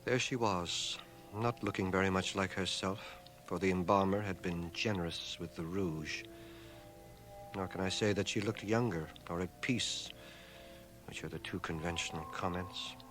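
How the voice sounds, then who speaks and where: Older scholar, radio drama lead and narrator: lowish voice, measured, dry, learned, old cassette sound. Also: male voice, fairly even intonation, fairly bright tone, faint music behind. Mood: grave, reflective. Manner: measured, precise, sardonic. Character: dry, erudite, stoical older male scholar, narrating his life. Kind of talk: radio drama acting and first-person narration. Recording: 1980 radio drama, home cassette transfer.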